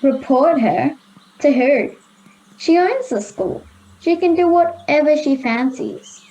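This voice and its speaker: A woman's voice: high voice, lively intonation, takes it slowly, dark tone, muffled recording, full character voice. A girl of ten or eleven, playing a bullied, resigned schoolgirl in a radio play. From Australia. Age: child, 10 to 11. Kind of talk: children's radio play acting.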